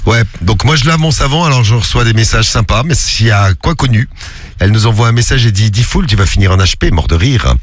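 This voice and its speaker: Fast-talking man hosting radio. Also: on the low side, animated, no echo. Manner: rambling, joking, many restarts. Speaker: radio morning show host. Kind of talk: casual radio banter. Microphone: studio microphone.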